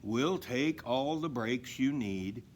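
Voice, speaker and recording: Guy doing gentle old father christmas for a kids story, slow and reassuring. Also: medium pitch, expressive, a little hiss or hum.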